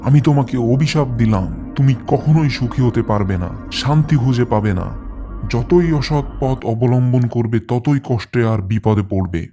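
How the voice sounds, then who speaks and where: A man's voice: medium pitch, moderate pace, mellow, soft background music, natural voice. A man voicing a stern, solemn water god in a cartoon folk tale. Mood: stern, condemning. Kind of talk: animated folk-tale dialogue.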